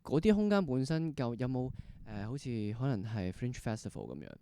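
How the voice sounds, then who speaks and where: A man's voice: mid-range voice, sing-song, a little fast, dark tone, clean tone, no echo. A man with a Hong Kong Cantonese accent interviewing conversationally, feeling his way through long, rephrased questions. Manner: exploratory, rephrases questions at length. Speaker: interviewer, podcast host.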